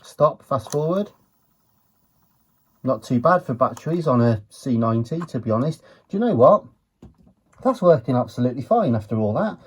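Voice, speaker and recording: A man giving an unhurried, low-key, matter-of-fact commentary as he works on a gadget, muttering to himself at times. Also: lively intonation, a little hiss or hum. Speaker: retro electronics hobbyist presenter. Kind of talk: casual hands-on teardown commentary.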